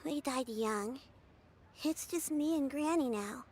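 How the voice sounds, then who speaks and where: A woman's voice: high voice, slow, darkish tone, slight background noise. A woman voicing a kind, plucky young child in a video game, with a childlike, earnest and polite delivery. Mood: brave.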